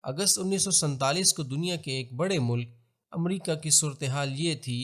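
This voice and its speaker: A man with a Pakistani accent reads scripted historical narration in a formal, measured, expository manner. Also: a little high, fairly bright tone. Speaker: documentary narrator.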